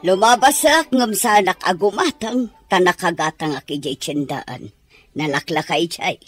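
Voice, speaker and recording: A woman's voice: fairly low pitch, a little slow, fairly bright tone, faint music behind. An actress playing a blunt, contrary woman neighbor in an Ilocano radio comedy drama. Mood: testy.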